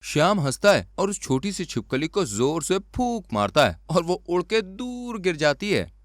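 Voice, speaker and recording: A man's voice: high voice, very animated, normal speed, no room sound, clean studio sound. A man narrating a scripted cartoon story as a storyteller.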